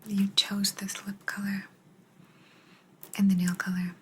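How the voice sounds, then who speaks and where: Slow whispering alien woman in ASMR roleplay. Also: medium pitch. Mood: calm, aloof. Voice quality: breathy, mostly whispered. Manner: slow, halting, gentle, calmly detached. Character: kind but aloof female alien abductor examining a human.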